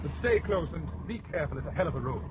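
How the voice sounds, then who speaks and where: A plain-spoken country inspector, played by an actor talks in a steady, slightly high voice. He sounds blunt and practical. The old radio play recording is dull and hissy. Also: racing, natural voice. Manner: plain-spoken, matter-of-fact.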